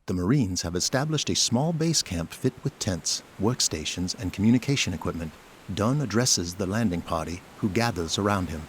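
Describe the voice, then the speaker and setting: A man's voice: low voice, animated, moderate pace, slight background noise. A man reading scripted, dramatic narration for an audio drama. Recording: loud music behind the voice.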